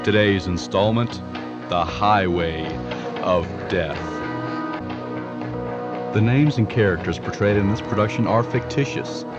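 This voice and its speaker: Low-voiced male radio announcer over loud music. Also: a little slow, long pauses, highs cut off. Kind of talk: scripted radio show credits.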